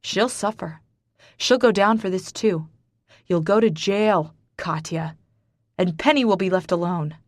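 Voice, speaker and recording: A woman's voice: on the low side, measured pace, dry recording, natural voice. A woman voicing a furious accusing man. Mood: stern. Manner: harsh, accusing, shouting.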